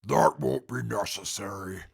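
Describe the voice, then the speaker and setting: A man playing a huge, dour wasteland mutant in a radio drama, speaking in a formal, deliberate, stiff way. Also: fairly low pitch, creaky, breathy edge, dry recording, clean studio sound, heavily acted. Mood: formal, reserved. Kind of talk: post-apocalyptic radio drama acting.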